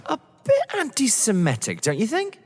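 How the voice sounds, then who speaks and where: Actor as a sceptical young man, very animated. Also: extremely high pitch, moderate pace, slight background noise, exaggerated voice. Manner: wary, dry, protesting. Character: young, sceptical, down-to-earth lover drawn into a scheme. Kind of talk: stage-thriller audio drama acting. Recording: long hall-like reverb.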